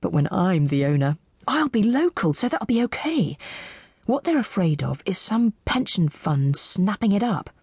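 A woman's voice: medium pitch, very animated, normal speed, a little mellow, slightly rough, no room sound, studio quality. A woman voicing an eager, nervous man in an audiobook, earnest and reassuring himself. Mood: self-reassuring.

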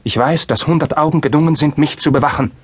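An actor playing a young, bitter and passionate Spanish crown prince in a classical stage drama, with declamatory, intense delivery. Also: male voice, fairly high pitch, fast, slightly rough. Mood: bitter defiance. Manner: declamatory, emotionally intense, formal verse delivery.

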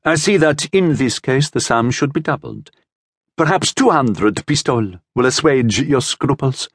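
A man voicing an impatient, insinuating gentleman schemer in an audiobook adventure tale. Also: mid-range voice, expressive, unhurried, breathy edge, no echo, clean studio sound, pitched above the performer's own voice. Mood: coaxing. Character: smooth impatient cloaked gentleman hiring a killer.

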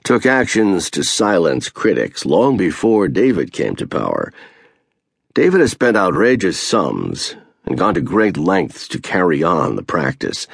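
A man reading a first-person memoir as an audiobook narrator, measured and earnest, slowing down to stress key phrases. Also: low voice, creaky, slightly rough, no echo, studio quality.

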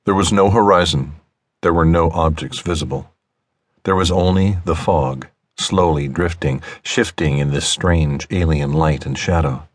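A man narrating an audiobook story in a measured, deliberate storytelling manner. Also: low-pitched, slightly rough, no room sound. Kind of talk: scripted fiction narration.

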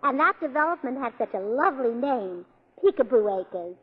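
An actress playing a flustered, scatterbrained wife in a 1940s radio play, stammering and fluttery. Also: female voice, on the high side, expressive, moderate pace, slightly rough. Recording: dull 1940s radio broadcast.

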